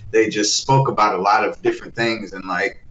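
A man co-hosting a casual podcast, talking loudly and rambling with a lot of laughter. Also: fairly low pitch, steady tone, a little fast. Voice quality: loud voice. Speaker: podcast co-host. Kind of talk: casual chat. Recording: dull lo-fi sound, faint noise.

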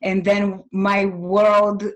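A woman who grew up in Poland, with Polish as her first language, speaks as an interview guest. Her pitch is average. She tells personal stories in a measured, earnest and reflective manner. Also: flat delivery.

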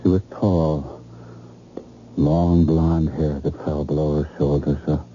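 An actor playing a bewildered, frightened husband in old-time radio drama, halting and repeating his words breathlessly. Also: extremely low pitch, flat delivery, mellow, slight background noise. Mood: terrified, shaken. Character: frightened, bewildered husband haunted by a murderous nightmare. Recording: muffled old radio broadcast with music.

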